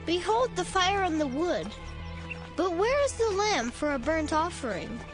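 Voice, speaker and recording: A woman's voice: very high voice, sing-song, measured pace, over music, full character voice. A woman playing an innocent, questioning young boy in a dramatised scripture reading.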